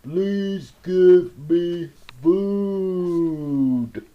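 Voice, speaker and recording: A reader doing a slow, mumbling starving anteater. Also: mid-range voice, muffled recording, pitched above the performer's own voice. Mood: pleading, hungry. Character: starving anteater, slow and pleading, later sly.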